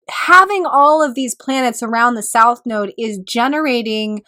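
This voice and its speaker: A woman astrologer giving a reflective solo talk, her voice still recovering from a cold, with pauses and throat clearing. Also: a little high, fairly bright tone.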